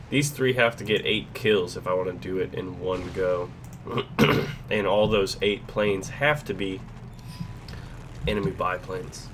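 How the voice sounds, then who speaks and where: A young man with a stuffed-up cold, hosting casual gameplay commentary, chatty and self-deprecating, clearing his throat often. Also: fairly low pitch, a bit bright, a little hiss or hum. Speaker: gaming channel host.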